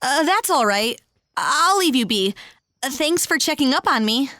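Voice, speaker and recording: Laid-back female traveler, acted by a woman: higher-pitched, conversational, close dry recording, audio drama. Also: very animated, moderate pace, sharp tone, no room sound, exaggerated voice. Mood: friendly. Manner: casual, conversational.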